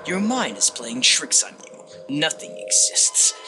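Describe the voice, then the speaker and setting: A man voicing a sinister, contemptuous disembodied game narrator, delivered in a condescending, theatrical and deliberate way. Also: mid-range voice, sing-song, gravelly, music in the background. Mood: cold menace. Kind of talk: dramatic reading of a text-based game.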